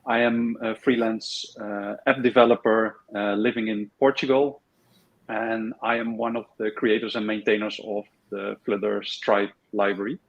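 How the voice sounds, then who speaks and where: A man from the Netherlands, a freelance app developer, introducing himself haltingly with many fillers. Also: on the low side, level tone, vocal fry. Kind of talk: casual livestream chat. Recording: dull lo-fi stream audio.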